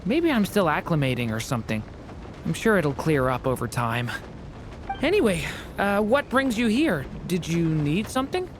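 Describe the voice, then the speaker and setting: A woman voicing a gentle, polite, slightly shy and earnest young boy in a video game, with a soft, hesitant manner. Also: fairly low pitch, very animated, normal speed, noisy background. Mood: apologetic, reassuring. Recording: music behind the voice.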